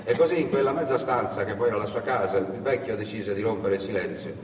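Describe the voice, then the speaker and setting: Male storyteller in an echoey hall. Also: on the low side. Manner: theatrical, repetitive phrasing with pauses. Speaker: storyteller and stage actor. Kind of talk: dramatic narrated monologue. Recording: echoey hall, distant mic, background noise.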